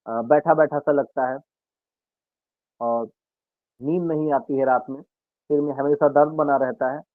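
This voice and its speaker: A man, an Ayurveda health expert, calmly explaining home remedies and speaking directly to listeners on a radio health talk. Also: medium pitch, no echo. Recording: narrow dry radio broadcast sound.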